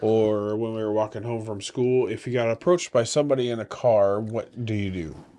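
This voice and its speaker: A man's voice: lowish voice, moderate pace, warm tone. Stuffy-sounding man co-hosting a podcast. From rural area. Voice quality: slightly raspy. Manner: joking, rambling, talks over partner. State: stuffy, blocked sinuses after a flight. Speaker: podcast co-host. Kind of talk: casual chat.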